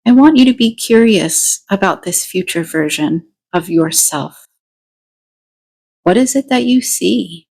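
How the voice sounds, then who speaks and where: A woman leading a guided meditation, giving deliberate instructions in short phrases with pauses between them. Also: medium pitch, animated, gravelly, a little mellow, husky.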